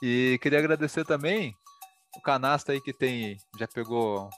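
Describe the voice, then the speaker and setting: A man's voice: mid-range voice, moderate pace, a bit bright, faint music behind. A young man with an interior São Paulo accent, co-hosting a casual podcast with joking, slangy banter. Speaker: podcast co-host.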